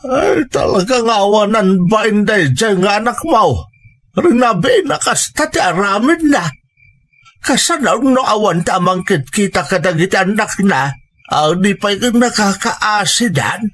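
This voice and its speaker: A man's voice: high-pitched, lively intonation, a little slow, a bit bright, heavily acted. A man playing an exasperated, disapproving family elder in an audio drama. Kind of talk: Ilocano audio drama acting.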